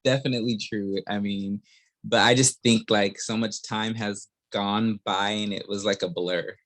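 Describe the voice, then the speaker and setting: A man's voice: on the low side, steady tone, measured pace, a bit bright. A man in his thirties hosting a podcast, chatting casually and laughing easily with his guest. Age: 30s. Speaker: podcast host.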